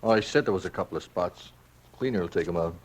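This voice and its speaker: An actor playing a practical, unbothered working-class husband in a 1940s radio drama, offhand and dismissive. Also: male voice, deep voice, quick pace.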